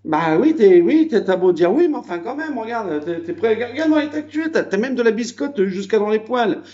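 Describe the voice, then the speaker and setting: A man's voice: high voice, sing-song, brisk, mellow, dull audio. A man from France chatting casually at his country home on a phone video, rambling with many fillers. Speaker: vlogger. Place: house in the countryside.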